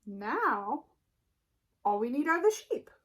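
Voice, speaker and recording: A woman reader puts on a bossy, bubbly girl sheep from a picture book. The voice is high and eager, with big swoops and a slightly breathy edge. She speaks as a confident little expert. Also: sing-song, slow pace, fairly bright tone, exaggerated voice. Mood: brisk, pleased. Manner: chirpy, eager, exclamatory. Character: bubbly, bossy, self-assured female sheep. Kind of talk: picture book read-aloud.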